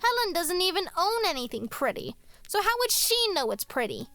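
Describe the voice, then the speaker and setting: A spoiled young girl with a high, bright voice. She is vain, snobbish and quick to sulk. It is horror audio drama with light music underneath. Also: sing-song, dry recording, exaggerated voice. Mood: scornful. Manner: snobbish, petulant. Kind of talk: horror radio drama acting.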